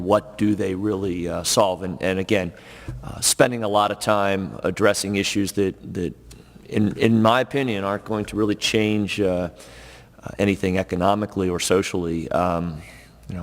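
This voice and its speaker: A male panelist at a public forum, speaking in a measured way and hedging with fillers. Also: low-pitched, creaky, in a large hall.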